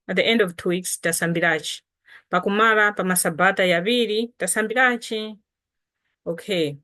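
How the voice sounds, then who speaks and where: A woman's voice: on the low side, fairly bright tone. A woman from Malawi whose first language is Chitumbuka, patiently teaching it in a casual lesson to camera. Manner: unhurried, patient, repeats and spells out words. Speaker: language teacher and channel host.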